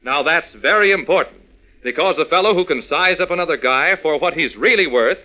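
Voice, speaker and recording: Bright, forceful old-time radio announcer man. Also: a little high, expressive, fairly quick, poor audio. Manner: emphatic, folksy direct address. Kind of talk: 1940s radio announcing to children, scripted.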